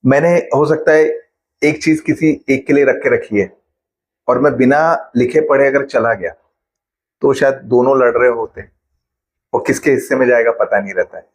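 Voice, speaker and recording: A man's voice: mid-range voice, normal speed. A man, a financial planner patiently explaining money matters with everyday examples in an interview.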